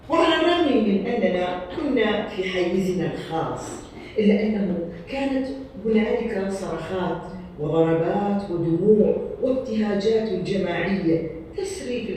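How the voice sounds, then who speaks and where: Woman, poetry reading, very quick pace, distant and heavily echoing sound, faint noise. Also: medium pitch, darkish tone. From Iraq. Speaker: poet.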